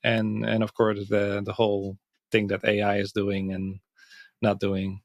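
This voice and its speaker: Low-voiced Dutch man thinking aloud. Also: monotone, measured pace, gravelly, no room sound. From Netherlands. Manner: reflective, thinks aloud with soft laughs. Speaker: podcast guest. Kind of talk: casual interview chat.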